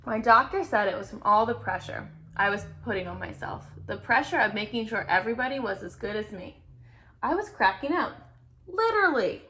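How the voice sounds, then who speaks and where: A woman voicing an earnest, anxious-to-please male egg in an expressive children's picture-book read-aloud. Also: fairly high pitch, fairly quick, slight background noise. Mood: frazzled. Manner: expressive storybook reading with playful emphasis.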